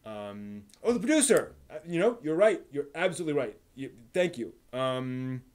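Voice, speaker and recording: A man hosting a casual live stream, rambling analytically as the host, often halting and repeating words while thinking aloud. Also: medium pitch, sing-song, a little mellow. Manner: analytical, explanatory.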